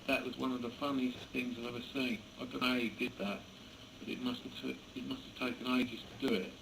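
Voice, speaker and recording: Male radio hobbyist, halting casual delivery, gravelly fry, clearly noisy camera audio. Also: medium pitch, little pitch movement. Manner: hesitant, halting, chuckling. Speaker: amateur radio operator. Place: indoors beside a computer.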